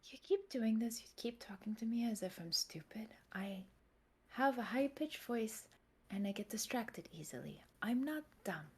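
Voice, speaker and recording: A woman playing a tabletop roleplaying game online, talking in and out of character, often whispering and then bursting out loudly. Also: on the high side, lively intonation, normal speed, breathy edge. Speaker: player.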